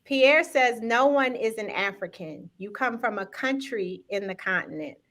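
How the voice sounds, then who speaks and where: A woman hosts a live-streamed talk with a bright, clear, fairly high voice. She talks straight to her audience in an upbeat, engaging way and reads out viewer comments as she goes. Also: expressive, a little slow. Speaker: host.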